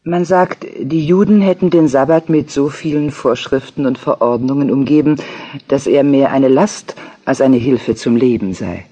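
A man's voice: mid-range voice, moderate pace, mellow. A man reading scripted documentary narration in a factual manner. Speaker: audiobook narrator.

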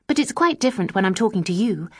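A woman voicing a lively, proud young woman of modest means, speaking eagerly and with spirit. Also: medium pitch, quick pace, no room sound, clean studio sound, close to an everyday voice.

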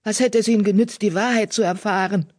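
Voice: mid-range voice, big pitch swings, a little slow, breathy edge, pitched above the performer's own voice, exaggerated voice. A narrator voicing a proud female cat, a former leader, indignant and defensive as she protests urgently.

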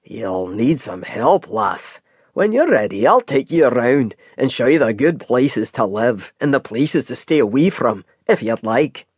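A kindly older Scottish cabbie, as the storyteller puts him on, lower and slower than the narration. He drawls in a friendly, chatty way, and the sound is close and dry. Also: sing-song, slightly rough, no echo. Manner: slow, warm, folksy.

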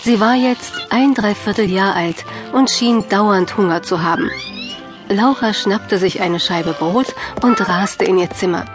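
Female narrator, medium pitch, measured children's storytelling, clear phrasing, faint music behind. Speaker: audiobook narrator.

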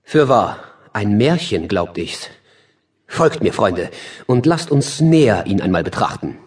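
An actor playing a commanding, sceptical sovereign in a classical verse drama, with imperious, declamatory delivery. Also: male voice, lowish voice, big pitch swings, measured pace, husky, far from the mic, exaggerated voice. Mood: incredulous. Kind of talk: classical German verse drama audiobook acting.

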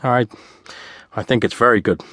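A man's voice: medium pitch, moderate pace, bright, forceful tone, husky, no echo, clean studio sound. A man voicing a meek, anxious male newcomer with a hesitant, stammering way of talking.

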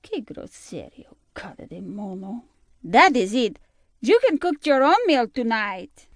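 A woman playing a spirited, sharp-tongued cook and housekeeper with a Spanish accent in an audio drama. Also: on the high side, very animated, a little slow, dry recording, clean studio sound. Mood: indignant. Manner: teasing, feisty, mixes Spanish words into speech. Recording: music behind the voice.

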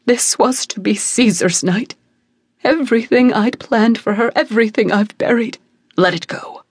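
A woman's voice: on the high side, moderate pace, breathy edge, no room sound, clean studio sound. A woman voicing a grieving middle-aged queen who speaks in a raised, anguished, pleading way. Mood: distraught.

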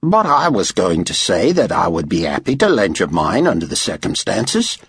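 A man voicing an excitable, chatty Australian motor pool worker in an audiobook, with eager, folksy, pushy talk. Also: deep voice, moderate pace, slightly rough, no room sound, clean studio sound, exaggerated voice. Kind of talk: audiobook character voice.

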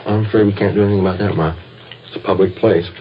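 Actor as a low-voiced, deadpan American detective. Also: male voice, rapid-fire, close to an everyday voice. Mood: stoical, determined. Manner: clipped, matter-of-fact, deadpan. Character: weary, dutiful male police detective, terse and stoical. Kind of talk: 1950s radio police drama, understated. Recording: muffled, noisy old radio recording.

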